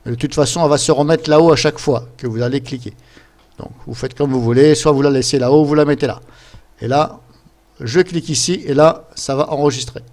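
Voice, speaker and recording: A man presenting a casual screen-capture software tutorial, explaining things step by step in an informal, chatty way. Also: fairly high pitch, a little fast. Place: voice-over recorded at a computer during screen capture.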